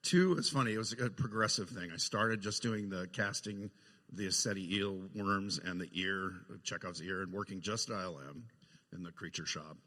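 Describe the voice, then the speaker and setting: A man with a deep but bright, punchy voice shares anecdotes casually as a panel guest. His words ring out in a large echoey hall. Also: normal speed. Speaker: panel guest, film effects artist.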